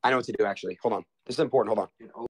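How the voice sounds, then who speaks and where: A man's voice: fairly low pitch, rapid-fire, slightly rough. A young man in his twenties livestreaming from a bedroom, talking restlessly to his chat and friends with lots of swearing. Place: bedroom in a house.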